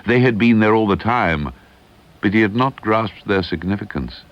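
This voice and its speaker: A man giving a measured, suspenseful reading of a ghost story, slowing and hushing at the eerie moments, on an old tape recording. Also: fairly low pitch, expressive. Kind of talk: dramatic audiobook narration. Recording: dull lo-fi archival tape digitised from cassette.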